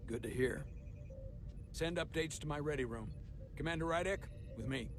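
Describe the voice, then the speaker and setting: An actor playing a stern, authoritative starship captain with bruised pride in a sci-fi game. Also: male voice, a little high, moderate pace, lightly acted. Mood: commanding. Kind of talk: cinematic sci-fi game acting. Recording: dramatic music underneath.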